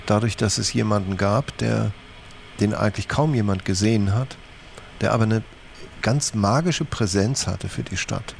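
A man's voice: on the low side, moderate pace, creaky, a little hiss or hum. A man being interviewed, talking reflectively.

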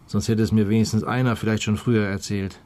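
An actor playing a wry, sardonic police detective with a measured delivery in a German crime radio play. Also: male voice, lowish voice, little pitch movement, a little mellow, lightly acted.

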